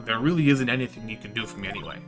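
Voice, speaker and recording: A man's voice: fairly low pitch, rapid-fire, music in the background, close to an everyday voice. A man voicing a beleaguered young lawyer in a game, wry and brooding. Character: beleaguered young male lawyer, dry and weary.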